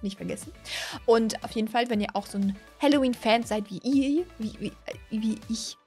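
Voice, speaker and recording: Casting: woman, 20s, high voice, gushing gaming host, chatty and repetitive, close studio mic, soft music underneath. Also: normal speed. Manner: gushing, repeats words and phrases. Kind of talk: excited casual reaction commentary to camera. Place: small indoor streaming room. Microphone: close studio microphone on a boom arm.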